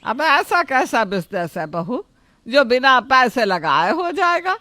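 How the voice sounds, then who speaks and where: Woman acting elderly mother-in-law, high voice, unhurried, grumbling, sharp-tongued, dry close sound, story drama. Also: very animated, no room sound, heavily acted. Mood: complaining. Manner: nagging, drawn-out complaining.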